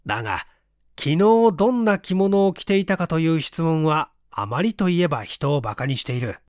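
High-voiced man narrating fiction, very dry sound. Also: sing-song, normal speed, a little mellow, no room sound. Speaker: audiobook reader. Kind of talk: scripted dramatic narration of fiction.

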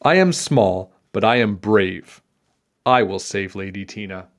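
A man's voice: fairly low pitch, expressive, measured pace. A man voicing a tiny, brave, confident storybook knight who makes plucky declarations. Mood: brave, determined.

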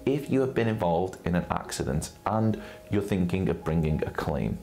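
A man in his thirties with a British accent, giving a scripted, measured and carefully articulated explainer to camera as a presenter for a claims firm. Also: sits low, animated, vocal fry, warm tone, soft background music. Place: indoor studio set with brick wall and armchair. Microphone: lapel mic.

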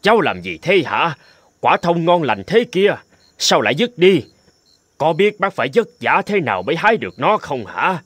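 A man voicing a busy older squirrel in a cartoon, gruff and scolding yet caring. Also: a little high, very animated, normal speed, a bit bright, no room sound.

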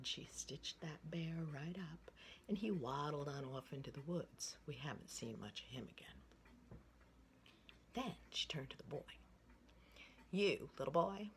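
Older female storyteller, 60s, low-pitched and highly animated, playful delivery for children, recorded at home with background noise. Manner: expressive, playful, with repeated sing-song phrases. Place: indoors, room with wooden ceiling. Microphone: phone at arm's length. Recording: noisy home recording with room ambience.

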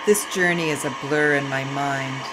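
A middle-aged woman reading a memoir excerpt aloud in a slow, reflective way. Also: a bass voice, steady tone, heavy background noise. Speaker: vlogger and author. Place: train compartment.

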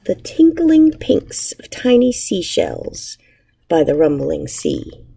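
A woman reads a children's picture book aloud in a slightly low, mellow voice with some gravelly fry. Her phrasing is expressive and measured, like a teacher at storytime. She is recorded in a classroom on a device at arm's length. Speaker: elementary school teacher. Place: indoors, empty school classroom.

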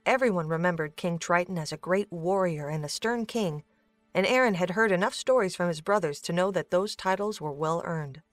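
Lowish-voiced woman narrating a story. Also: moderate pace, dry recording, soft background music.

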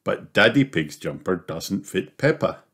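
Children's book narrator, male, low register, unhurried and animated read-aloud. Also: breathy edge. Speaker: storybook narrator. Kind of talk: scripted children's story narration.

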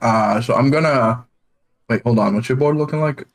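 A man's voice: mid-range voice, normal speed. A man from Denmark playing a card game over voice chat, sarcastic and self-mocking. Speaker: streamer, duo partner. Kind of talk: casual gaming chat.

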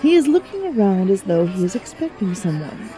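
A woman narrating an audio drama in a measured storytelling manner. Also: mid-range voice, big pitch swings, mellow, faint music behind.

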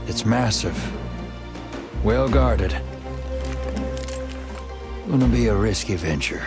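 An actor playing a cool, cynical doctor turned hired gun in a western game, dry and measured. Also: male voice, on the low side, expressive, gravelly, full character voice. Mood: cool, assured. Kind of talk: dramatic video game acting. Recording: music behind the voice.

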